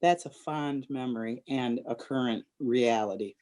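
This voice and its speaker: A woman's voice: very deep voice, measured pace, a little mellow. A woman in her sixties from Michigan with an American accent, reminiscing in a group interview. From Michigan, United States. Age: 60s. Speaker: scout troop member.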